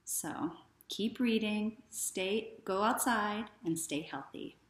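A woman in her thirties reading a children's story aloud in a deliberate, expressive way. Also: medium pitch. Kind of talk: children's read-aloud narration. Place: small living room, indoors. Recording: echoey living room.

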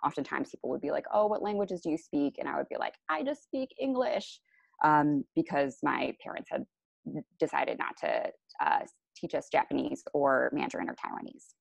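A young woman from the Chicago area presenting over slides on a video call, relaxed and full of ums and self-corrections. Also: low voice, normal speed, vocal fry, no room sound. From Chicago area, USA. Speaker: panelist. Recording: dull lo-fi video call audio.

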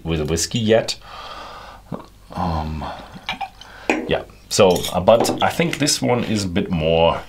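A man's voice: sits low, slight background noise. A man in his thirties casually reviewing a whisky from an armchair in a small studio, rambling with many fillers and restarts. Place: indoor studio with whisky shelves, seated in armchair.

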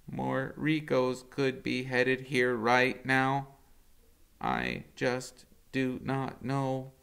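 A man voicing a calm female robot mother who speaks slowly and evenly. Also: mid-range voice, creaky, smooth, voice pushed up, exaggerated voice. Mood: earnest. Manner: slow, even, carefully spoken.

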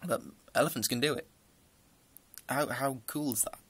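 A man's voice: mid-range voice, fairly even intonation, moderate pace, fairly bright tone, slightly rough, dry recording. A young man with a northern English accent, chatting casually through a list of questions into a close webcam in a small room, rambling with dry asides. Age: young adult. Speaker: vlogger.

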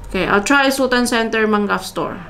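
A woman's voice: a little high, fairly quick, bright, forceful tone, a little hiss or hum. A woman in her thirties chatting casually through a vlog and talking through each step aloud. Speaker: vlogger. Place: home office, then car and supermarket.

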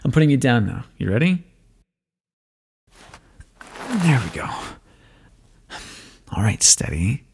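Male actor as weary, brusque scientist captor for audio roleplay; gravelly, dry delivery with a swinging pitch. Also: big pitch swings, normal speed, darkish tone, slight background noise. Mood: terse, watchful. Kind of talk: ASMR roleplay monologue, intimate acting. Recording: long reverberant echo.

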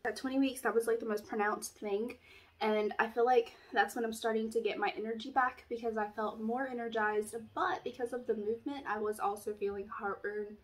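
A woman's voice: fairly high pitch, rough recording. A young woman with a stuffy nose from allergies, chatting through a casual pregnancy update at home, rambling with lots of fillers. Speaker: vlogger. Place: small room at home, indoors. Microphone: camera in front, framed at chest.

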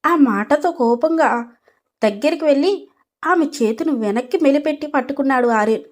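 A woman narrates a scripted story. Her voice is high, and she delivers the narration as a storyteller between lines of dialogue. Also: moderate pace.

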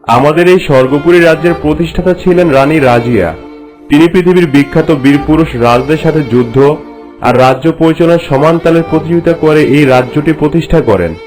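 A reverent royal courtier from a cartoon fairy tale, played by a man, speaks in a slightly high male voice. He explains with formal, respectful storytelling delivery. Music plays softly underneath. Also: quick pace, natural voice. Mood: respectful, solemn. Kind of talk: scripted cartoon dialogue in formal narration.

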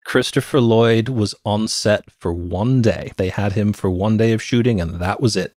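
A man's voice: on the low side, moderate pace, darkish tone, no room sound. A middle-aged man co-hosting a movie podcast, chatting casually about films into a close microphone. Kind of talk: casual film review chat. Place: cluttered room at home. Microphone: close podcast microphone on a stand.

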